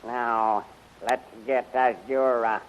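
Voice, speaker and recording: An actor playing a villainous, commanding rebel general in a 1930s radio serial, pompous and deliberate, on a hissy old recording. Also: male voice, mid-range voice, fairly even intonation, lo-fi sound, lightly acted. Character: villainous rebel general, commanding, vengeful, scheming grown man.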